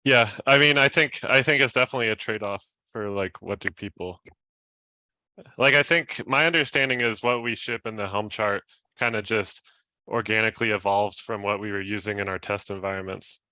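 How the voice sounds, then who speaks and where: A man's voice: lowish voice, moderate pace, bright, forceful tone, no echo, poor audio. A man in his thirties talking informally and hedging in a technical discussion on a headset. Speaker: software engineer on a working group call. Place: room at home, video call. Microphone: headset boom mic.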